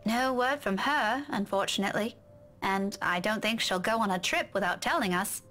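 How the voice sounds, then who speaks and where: An actress with a British accent playing a feisty, caring young woman in a visual novel, direct and expressive. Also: mid-range voice, moderate pace, close to an everyday voice. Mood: concerned. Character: feisty, caring young woman teasing her old friend.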